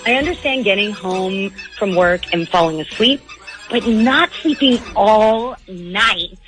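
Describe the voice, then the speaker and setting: A woman's voice: medium pitch, animated, slow pace, over music, poor audio. A woman calling in to a radio show and talking candidly about her own life. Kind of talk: candid conversation on a radio call-in.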